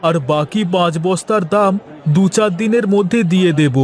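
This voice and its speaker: A man's voice: high-pitched, normal speed, a faint crowd, lightly acted. A man voicing a polite, deferential younger villager in a cartoon. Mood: polite, persuasive. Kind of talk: cartoon dialogue acting.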